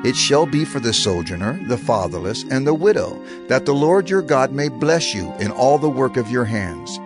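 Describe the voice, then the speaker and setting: A solemn male lawgiver addresses his people in an audio scripture drama, acted by a man. His delivery is declamatory and authoritative, with lively, sweeping intonation. Music plays clearly behind his deliberate words. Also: mid-range voice, lightly acted. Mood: solemn, commanding. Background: background music.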